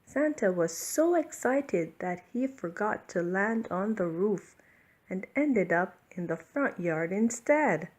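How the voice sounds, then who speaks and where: A woman narrating a children's bedtime picture book in an expressive, deliberate storytelling style. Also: mid-range voice. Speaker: storybook reader.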